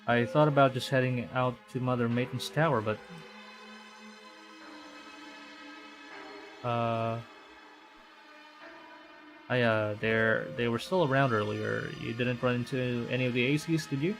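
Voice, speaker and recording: A man's voice: medium pitch, steady tone, normal speed, faint music behind, natural voice. A man voicing a meek, polite, down-on-his-luck boy in a visual novel, mild and apologetic. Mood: unsure.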